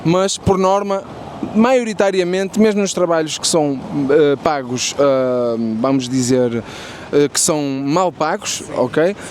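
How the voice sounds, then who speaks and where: A man's voice: a little high, a little slow, darkish tone, slight background noise. A young Portuguese man with a European Portuguese accent, casually interviewing strangers on a busy city street with lots of fillers. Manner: chatty, friendly, many fillers and restarts. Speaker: street interviewer and content creator.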